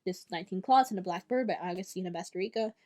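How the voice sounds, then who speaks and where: A young woman talking to camera about her summer reading list in a chatty, enthusiastic way, with quick asides and self-corrections. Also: lowish voice, mellow. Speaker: book vlogger. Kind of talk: casual chat to camera.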